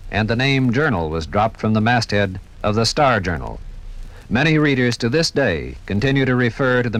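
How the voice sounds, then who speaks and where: A man's voice: lowish voice, rough recording. An American man reading formal scripted narration in the manner of a 1950s radio documentary. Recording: 1950s radio recording from vinyl.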